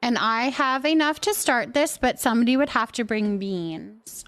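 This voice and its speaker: A woman's voice: on the high side, moderate pace, fairly bright tone. A woman hosting a game livestream, chatting casually with viewers in a giggly, talkative manner. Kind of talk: casual chat with viewers while playing a cooking game.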